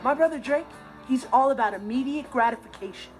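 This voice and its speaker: A man's voice: very high voice, fairly quick, over loud music. An actor playing an earnest, fussy, well-meaning nerdy teenage boy explaining things in a sitcom monologue.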